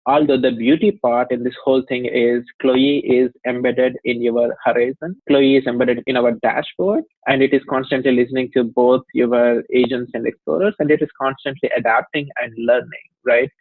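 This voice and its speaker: A man's voice: mid-range voice, steady tone, moderate pace, hardly any pauses, no echo. Indian man on a tinny phone line. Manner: explanatory, talks at length with frequent "you know" and light laughs. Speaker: company CEO, guest. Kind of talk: interview conversation.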